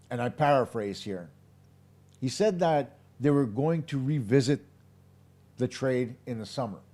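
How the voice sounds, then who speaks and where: A man hosting a live post-game hockey show, his voice hoarse, talking in an emphatic, opinionated way straight to his viewers. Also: medium pitch, warm tone. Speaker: hockey podcast host.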